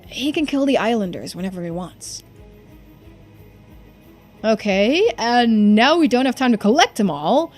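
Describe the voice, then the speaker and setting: Female reader as young male game protagonist: earnest, anxious, hesitant, conversational, over soft background music. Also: fairly high pitch. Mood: urgent. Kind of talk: video game dialogue read-aloud.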